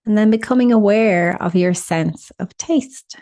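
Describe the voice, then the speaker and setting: A woman leading a guided meditation in a soothing, instructional manner with deliberate pauses. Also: medium pitch, dark tone, no room sound, clean studio sound.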